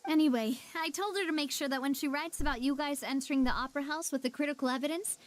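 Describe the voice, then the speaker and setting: A woman playing a poised, spirited young lady in an anime game, speaking in a warm, candid, reflective way. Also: sits high, fast talker, noisy background, lightly acted. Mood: friendly, confiding. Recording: faint music behind the voice.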